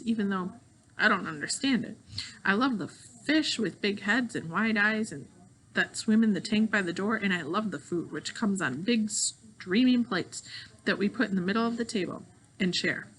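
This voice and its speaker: A woman reading a children's novel aloud in an expressive storytelling style. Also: medium pitch, normal speed, a little hiss or hum, poor audio. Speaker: audiobook reader of a children's novel.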